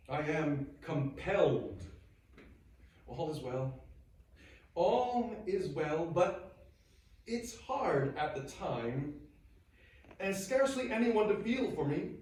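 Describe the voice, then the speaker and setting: An actor playing a 19th-century churchman bidding friends a sorrowful, earnest farewell, in a solemn, deliberate theatrical reading. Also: a little high, a little mellow. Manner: solemn, deliberate, emotive, oratorical with weighted pauses. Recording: very echoey space, distant microphone.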